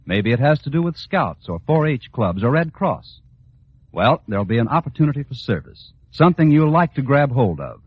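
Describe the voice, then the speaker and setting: A man's voice: mid-range voice, sing-song, moderate pace, slightly rough, no echo. A man announcing on 1940s radio in a scripted public-service style. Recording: dull vintage 1940s radio recording.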